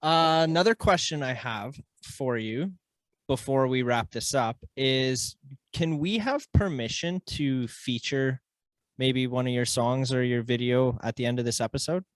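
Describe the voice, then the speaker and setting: A man's voice: mid-range voice, a little slow, dry recording. A man hosting a podcast interview in an informal, laid-back way with casual slang. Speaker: podcast host.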